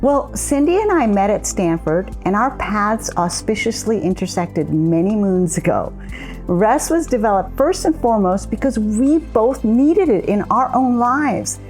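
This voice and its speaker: A woman co-founder giving a measured, explanatory account in a scripted promotional conversation. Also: mid-range voice, sing-song, darkish tone, soft background music. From immigrant. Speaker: co-founder of a coaching method.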